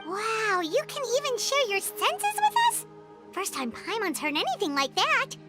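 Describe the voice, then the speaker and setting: A woman voicing a small, childlike, excitable fairy companion in a video game, squeaky and exclamatory. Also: a little slow, music in the background, exaggerated voice. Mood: excited. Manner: exclamatory, refers to herself in third person. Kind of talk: animated video game voice acting.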